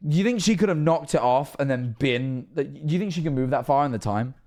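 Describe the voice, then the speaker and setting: Hoarse man rambling on stream. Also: mid-range voice, lively intonation, a little mellow. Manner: halting, many restarts. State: hoarse, losing his voice. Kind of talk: casual reaction commentary.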